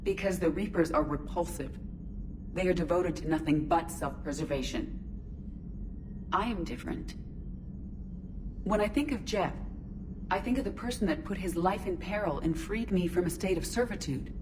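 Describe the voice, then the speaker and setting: A woman playing an earnest, logical, curious artificial intelligence in a video game, speaking in formal, measured, precise phrases. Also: fairly low pitch, background noise, natural voice. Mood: earnest, resolute. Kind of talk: scripted video game voice acting. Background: music.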